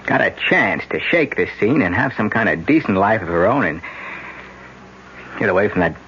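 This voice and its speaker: A man's voice: fairly low pitch, expressive, moderate pace, a bit bright, a little hiss or hum. An actor playing a resentful young man who hates his father, in a 1970s radio drama. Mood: bitter. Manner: resentful, sneering. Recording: dull old radio broadcast with music.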